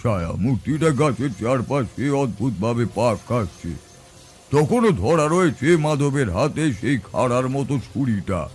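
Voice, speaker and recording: A man's voice: medium pitch, animated, darkish tone, natural voice. A man playing a grave village tantrik priest who recounts eerie encounters as a suspenseful, dramatic horror story. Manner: slow, suspenseful, deliberate. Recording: background music under the voice.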